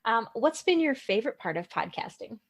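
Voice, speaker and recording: Husky, animated woman in casual chat. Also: fairly high pitch, fairly quick. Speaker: podcast co-host.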